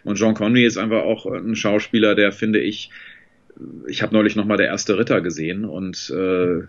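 Low-voiced man on a muffled call. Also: steady tone, normal speed. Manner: thoughtful, fond film talk with many fillers. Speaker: voice actor, guest. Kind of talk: relaxed video-call interview chat. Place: home room with shelves and pink light. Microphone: video call. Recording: slightly dull, muffled call audio.

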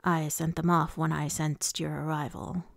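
Stern male High Lord, acted by a woman narrator, guarded and commanding, gravelly, dry storybook read. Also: fairly even intonation, a little slow, darkish tone, dry recording, exaggerated voice. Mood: cool, commanding. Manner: cool, curt, commanding.

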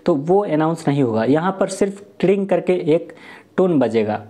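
A man's voice: on the high side, animated, moderate pace, mellow. A young man giving a step-by-step tutorial, explaining and rephrasing his points as he goes. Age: young adult. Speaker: tech tutorial presenter. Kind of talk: step-by-step tutorial talk to camera. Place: small room indoors. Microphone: lapel mic.

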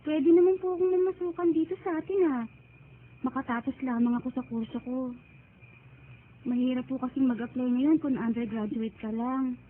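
Tinny noisy radio drama, woman acting a devout young filipino daughter, high voice, gentle and earnest. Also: moderate pace, close to an everyday voice. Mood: troubled, earnest. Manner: earnest, respectful. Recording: tinny narrow band, background noise.

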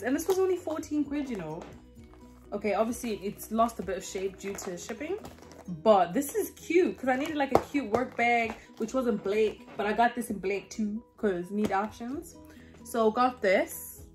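A young woman, not a native English speaker, chatting animatedly in a home try-on haul vlog and calling her viewers guys. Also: on the high side. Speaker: fashion vlogger. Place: home living room, indoors.

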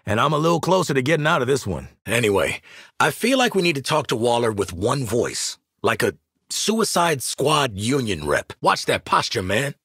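An actor playing a cocky, world-weary assassin in a video game, with a raspy American voice and a brash, streetwise, wisecracking manner. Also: male voice, medium pitch, big pitch swings, normal speed, fairly bright tone, no echo, clean studio sound, exaggerated voice. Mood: cocky, wry.

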